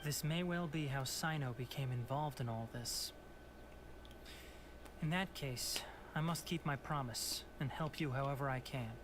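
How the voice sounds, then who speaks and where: A man's voice: medium pitch, unhurried, background noise, close to an everyday voice. An actor playing an earnest, worried young male scholar in a game, speaking politely and measuredly. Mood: concerned.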